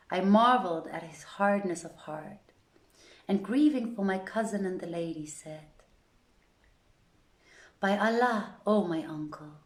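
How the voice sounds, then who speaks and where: Slow-talking woman storyteller in an echoey room. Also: on the low side, a little mellow. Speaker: reader in a theatre project's online reading series. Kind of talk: live storybook reading with informal introduction.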